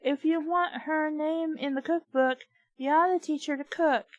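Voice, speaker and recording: A woman's voice: high-pitched, steady tone, normal speed, no echo, dull audio, voice pushed up, natural voice. A woman doing an aggrieved talking meatball. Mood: indignant. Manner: aggrieved, complaining. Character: indignant, self-pitying talking meatball.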